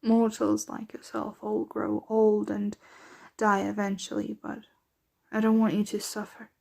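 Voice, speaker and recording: A woman playing a centuries-old, doting, aristocratic vampire mistress in an ASMR roleplay, speaking softly, tenderly and without hurry, often slipping into whispers. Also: medium pitch, completely flat delivery, creaky. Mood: wistful, protective. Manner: soft, unhurried, tender, often drifting into whispers.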